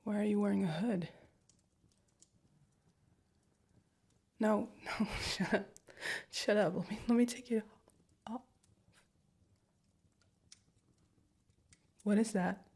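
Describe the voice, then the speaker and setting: A young woman playing an anxious, protective girlfriend in a listener-directed audio roleplay, rambling and stammering, often sinking into hushed whispers. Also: mid-range voice, fairly even intonation, moderate pace, slightly rough. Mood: alarmed.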